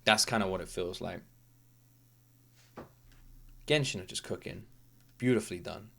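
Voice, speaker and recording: A man's voice: sits low, normal speed, vocal fry, a bit bright. A man hosting a gaming reaction video, thinking aloud in an informal, enthusiastic way. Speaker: host of a gaming reaction channel. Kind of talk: casual off-the-cuff reaction commentary. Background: game trailer music.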